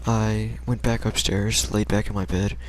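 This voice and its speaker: A man's voice: fairly low pitch, little pitch movement, unhurried, slight background noise, rough recording. A young American man casually telling a personal story in a rambling, slangy, hesitant way. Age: young adult.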